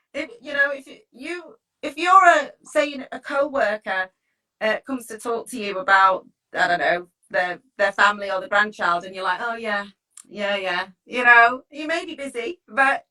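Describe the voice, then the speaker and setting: A woman with a fairly high, bright voice talks like an informal coach. She is encouraging and chatty, often seeking agreement with small tag questions. The microphone sits at a distance from her. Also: unhurried. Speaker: teacher wellbeing coach leading a talk.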